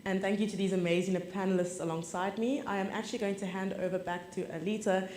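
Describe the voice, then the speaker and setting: A woman's voice: mid-range voice, steady tone, fast talker, darkish tone. A woman moderating a panel, upbeat and grateful as she thanks guests and audience. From South Africa. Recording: echoey venue.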